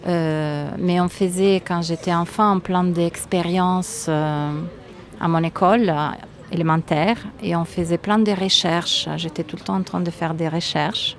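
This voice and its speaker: Italian-accented woman, lowish voice, thoughtful and halting, interview guest. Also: steady tone. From Italy. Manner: hesitant, thoughtful, many fillers. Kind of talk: casual interview answers.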